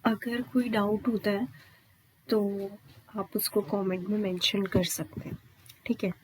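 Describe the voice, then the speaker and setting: Female Indian exam tutor, mid-pitched, unhurried, instructional delivery with regular comprehension checks. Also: steady tone, slight background noise, low-quality recording. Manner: instructional, checks understanding with frequent questions and tags. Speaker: English grammar teacher. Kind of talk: exam-prep lesson, explaining step by step.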